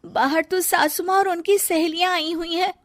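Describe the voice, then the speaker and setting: A woman's voice: very high-pitched, fairly even intonation, normal speed, no echo, studio quality, voice pushed up. A woman voicing a young shape-shifting snake woman who is a polite new bride, talking in a dutiful, easily flustered way. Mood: panicked.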